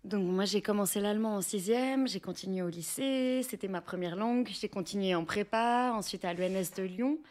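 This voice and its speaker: A woman's voice: medium pitch, normal speed, few pauses, darkish tone. A young woman with a French accent, answering interview questions in a reflective, conversational way with some hesitation. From France. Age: young adult. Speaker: guest, researcher, teacher and actress.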